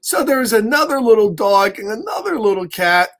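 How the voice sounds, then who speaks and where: A man's voice: sits high, big pitch swings, normal speed, a bit bright. An American man in his fifties reading a picture book to children expressively, with playful, chatty asides to his young viewers. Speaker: storyteller for children. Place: small home room, indoors.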